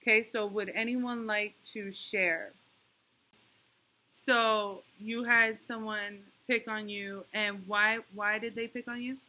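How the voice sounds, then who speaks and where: A woman teaching a young class online and checking often that the children follow, speaking slowly and patiently. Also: mid-range voice, steady tone, pushed and bright, low-quality recording. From New York. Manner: patient, encouraging, talks slowly to kids with many "okay?" checks. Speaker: elementary school teacher.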